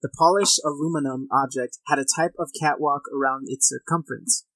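A man's voice: a little high, steady tone, a little fast, fairly bright tone, no echo, rough recording. A man of thirty hosting a podcast in a casual, informal and self-deprecating way. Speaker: podcast host. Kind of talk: casual podcast talk and reading of accounts. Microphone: headset, recording at own setup.

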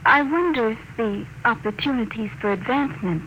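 High, bright, anxious young woman played by an actress. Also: fairly quick, muffled recording, natural voice. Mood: puzzled, hopeful. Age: young adult. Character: anxious, pleading young woman caught in intrigue. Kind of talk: 1950s radio drama acting. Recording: old radio recording with faint noise.

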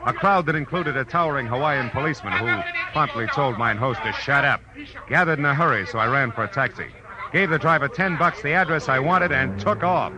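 An actor playing a tough, wry American private detective in 1940s radio style, narrating and trading punchy lines. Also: male voice, mid-range voice, a little fast, a crowd in the background, lightly acted. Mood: urgent. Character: wisecracking hard-boiled male private detective. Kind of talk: 1940s radio acting, first-person narration. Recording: muffled old radio broadcast with music.

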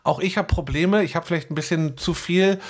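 A man with a slightly high voice co-hosts a casual podcast. He rambles through his opinions bluntly and often stutters into his words before finishing the thought. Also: fairly quick. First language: German. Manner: rambling, stuttering over word starts, blunt. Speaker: podcast co-host.

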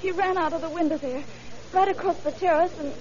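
Shaken woman patient, extremely high pitch, stammering, old radio play, muffled recording. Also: a little mellow. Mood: shaken, frightened. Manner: halting, stammering, repeats words. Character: shaken female hospital patient recounting an attack. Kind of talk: 1930s radio acting. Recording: dull old radio recording, murmuring background.

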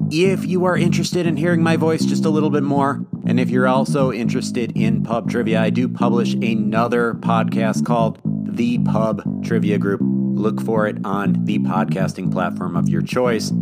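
A man co-hosting a casual sports podcast, chatty and self-deprecating, quick to laugh. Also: on the low side, vocal fry. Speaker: podcast co-host.

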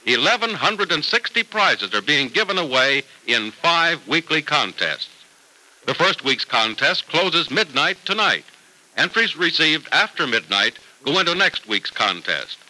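A man's voice: a little high, sing-song, a little slow, pushed and bright, rough recording. A man working as a 1940s radio announcer, reading scripted copy with emphatic, polished salesmanship.

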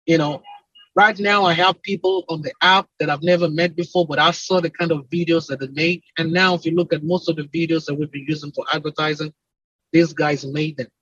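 A man with a Nigerian accent talks at length in an interview, in a slightly high, husky voice. He explains things emphatically, stacking up repeated phrases in lists. The sound is dry and close, though a bit dull and lo-fi. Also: fairly even intonation, a little fast, a bit bright, dry recording. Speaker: entrepreneur and company CEO, podcast guest.

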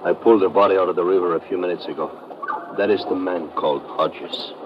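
An actor playing a respectful, earnest local helper in a 1950s radio drama. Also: male voice, deep voice, fairly even intonation, brisk, lightly acted. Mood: grave. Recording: dull noisy old radio recording.

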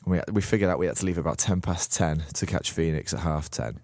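A man with a Liverpool accent and a cold-worn hoarse voice, chatting casually on a podcast with halting restarts. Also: sits low, darkish tone, no room sound, studio quality. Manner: halting, lots of restarts and stammers. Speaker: podcast co-host. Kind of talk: casual chat.

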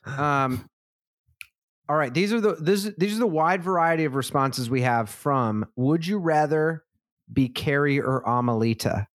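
A man's voice: fairly high pitch, unhurried, no echo. Dry-voiced man reading listener replies. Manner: relaxed, swears casually, reads out listener responses. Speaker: podcast co-host. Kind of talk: casual chat. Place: lives in a big city.

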